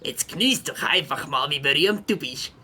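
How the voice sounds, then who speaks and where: A woman playing a cheeky, stubborn young schoolboy hedgehog in a Swiss German children's audio drama, with a husky voice and cocky slang. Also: low-pitched, lively intonation, moderate pace, sharp tone, heavily acted. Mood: smug.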